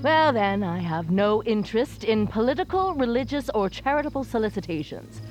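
An actress playing a prickly, pedantic reclusive novelist in an audio drama, curt and wordy with suspicion. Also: female voice, on the high side, very animated, moderate pace, soft background music.

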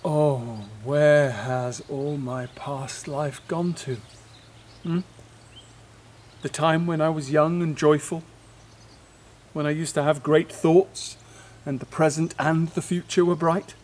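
A man's voice: fairly high pitch, steady tone, takes it slowly, pauses often, darkish tone. An actor playing a disillusioned middle-aged father, lamenting earnestly in a naturalistic audio play. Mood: despairing. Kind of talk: naturalistic audio drama monologue.

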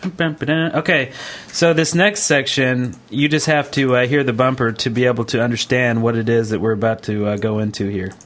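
A man's voice: mid-range voice, fairly even intonation, brisk, hardly any pauses, fairly bright tone. A man co-hosting a podcast in a casual, conversational, rambling way. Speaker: podcast co-host.

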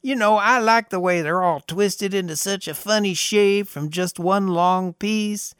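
A tinny, high old desert drifter. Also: male voice, measured pace, fairly bright tone, clear, resonant tone, no echo, studio quality. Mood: knowing. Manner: drawling, folksy, chatty. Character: skinny old bearded desert drifter, cheerful and sly.

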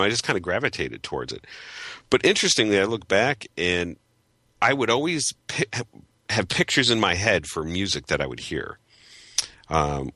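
A man in his forties from Chicago, a photographer guest telling his story in an animated way with many fillers. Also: sits low, no echo. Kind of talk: interview answers.

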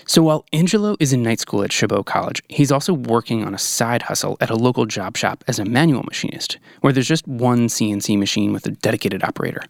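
A man, the podcast host, reading brisk scripted voice-over narration. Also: mid-range voice, lively intonation, gravelly, no echo, clean studio sound. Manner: brisk, informative.

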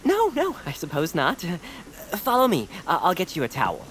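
Fussy, prim little homebody, wildly swooping intonation. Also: female voice, fairly low pitch, wildly swooping pitch, moderate pace, a little hiss or hum. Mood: polite, strained. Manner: prim, hesitant, stammering when flustered. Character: fussy, timid, polite male homebody creature. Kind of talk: family audio drama acting.

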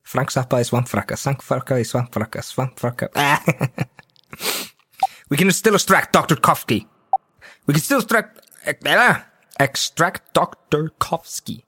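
A man's voice: mid-range voice, animated, unhurried, sharp tone, breathy edge. A voice actor stumbling over a tricky name, repeating his line and laughing at the mistakes. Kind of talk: blooper reel, flubbing lines.

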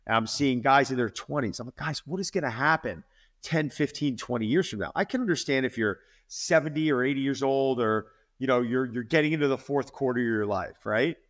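A man's voice: mid-range voice, brisk, a bit bright. A man in his forties, a fitness trainer, speaking earnestly in a podcast interview and often repeating words as he makes his point. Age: 40s.

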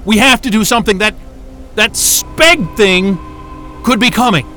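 An actor in an audio drama playing a frightened but defiant captive scientist, stammering, agitated and foul-mouthed. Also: male voice, sits high, unhurried, bright, forceful tone, soft background music. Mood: nervous, pleading.

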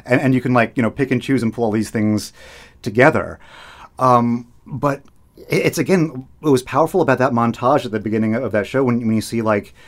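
A middle-aged American man talking in a relaxed interview. He rambles and restarts a lot and laughs as he goes. His voice sits at an ordinary pitch for a man. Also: fairly quick. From United States. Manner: rambling, many restarts and fillers, laughs often. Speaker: radio host, interviewee. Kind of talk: casual conversational interview.

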